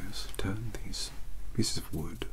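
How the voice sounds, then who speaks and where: A British man playing a younger, bookish and polite vampire-hunter mentor in a soft-spoken ASMR roleplay, hesitant, wordy and easily distracted. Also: sits low, slow, vocal fry, full character voice, poor audio. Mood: instructive, mild. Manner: hesitant, apologetic, wordy, scholarly.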